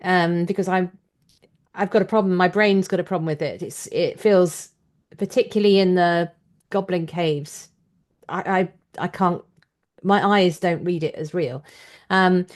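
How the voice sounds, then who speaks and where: A woman's voice: lowish voice, measured pace, a little mellow. A woman hosting a podcast interview, conversationally offering her own opinions and questions.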